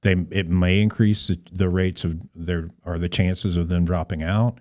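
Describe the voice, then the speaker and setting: A man speaking as a guest in a conversational interview, explaining things in an earnest, measured way. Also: deep voice, steady tone, a little mellow. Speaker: podcast guest, Rotary club volunteer.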